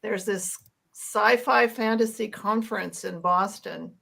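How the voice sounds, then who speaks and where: A woman's voice: mid-range voice, measured pace, creaky, a bit bright. A woman talking casually in a group discussion.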